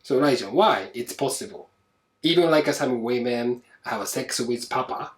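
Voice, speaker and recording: A man with a Japanese accent hosting a casual podcast, speaking halting non-native English and backing up his co-host with steady murmurs of agreement. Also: mid-range voice.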